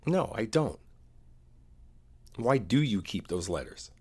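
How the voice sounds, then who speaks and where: A man playing a frustrated, wounded boyfriend in a one-sided audio roleplay, talking in a naturalistic, halting way with a husky voice. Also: lowish voice, very animated, exaggerated voice. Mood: exasperated, defensive. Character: frustrated, wounded boyfriend arguing with his partner.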